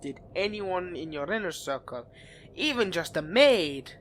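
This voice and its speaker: A man's voice: high voice, lively intonation, measured pace, fairly bright tone, slight background noise. A man playing a suave, scheming vampire aristocrat in a dramatic roleplay addressed to the listener, with courtly, haughty, theatrical phrasing. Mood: sympathetic, coaxing.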